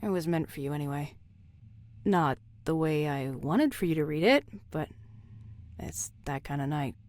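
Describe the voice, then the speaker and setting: An actress playing a caring but guarded, practical woman in a video game drama, with measured, understated delivery. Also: sits low, animated, darkish tone. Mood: tender, candid.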